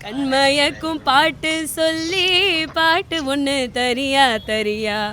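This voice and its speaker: A woman's voice: high voice, slow, fairly bright tone. A woman singer performing Tamil film songs as a guest out in a public place. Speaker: singer, guest on a prank call show. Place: outdoors in a public street.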